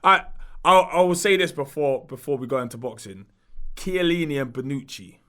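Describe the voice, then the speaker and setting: A man's voice: fairly high pitch, lively intonation, moderate pace, sharp tone. A man hosting a podcast, upbeat and steering a casual chat. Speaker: podcast host.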